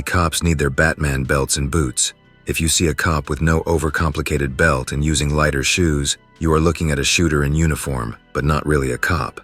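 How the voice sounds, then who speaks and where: A man with a remarkably low, heavy voice reads anonymous forum posts in a flat, matter-of-fact way. Faint music plays underneath. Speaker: narrator reading forum posts. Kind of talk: scripted narration.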